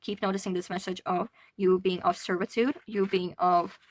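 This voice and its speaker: A woman's voice: fairly low pitch, level tone, moderate pace, dry recording. A young adult woman from Latvia giving a casual tarot reading, talking chattily with frequent restarts and the odd swear word. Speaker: tarot reader.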